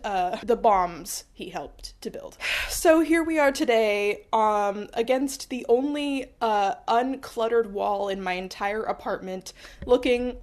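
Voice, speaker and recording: A young woman with a slightly high voice, stuffed up from a cold, talks through history in a loose, rambling way. She keeps breaking off for dry, self-deprecating jokes while recording in her small apartment. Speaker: history video host. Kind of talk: casual history explainer.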